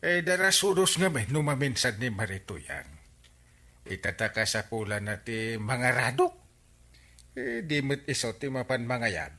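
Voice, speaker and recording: Radio comedy role: a jocular, prying Filipino villager, exclamatory delivery, sharp bright tone. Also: male voice, mid-range voice, expressive, a little slow. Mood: teasing. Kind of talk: Philippine radio comedy drama acting.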